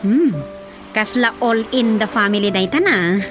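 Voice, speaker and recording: A woman's voice: medium pitch, lively intonation, measured pace, music in the background, close to an everyday voice. A woman playing a councilman's homely wife in an Ilocano radio drama comedy, talking in a conversational, questioning way.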